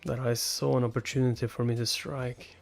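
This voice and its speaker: A man with a mid-pitched voice reads a story aloud as a live reaction host, with music behind him. He is expressive, moving between narration and casual, incredulous comments. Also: brisk, gravelly. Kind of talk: live reaction read-along with casual asides. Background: background music.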